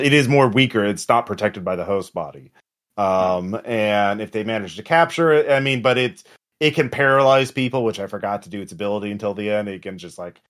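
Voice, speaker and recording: A man who speaks as game master in a casual post-game explanation, haltingly, trailing into pauses and swearing now and then. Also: fairly low pitch. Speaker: game master of a tabletop role-playing podcast.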